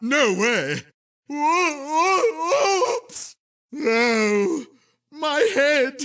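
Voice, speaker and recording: A man voicing a manic, arrogant cartoon evil scientist for a video game, pompous and theatrical in shouted exclamations. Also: very high-pitched, heavily acted. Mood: dismayed.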